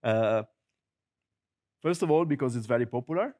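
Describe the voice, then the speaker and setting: A man with an Italian accent giving a technical conference talk, joking often, chuckling at his own jokes and filling pauses with many uhs. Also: mid-range voice, expressive, darkish tone. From Italy. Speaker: conference presenter. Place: conference hall.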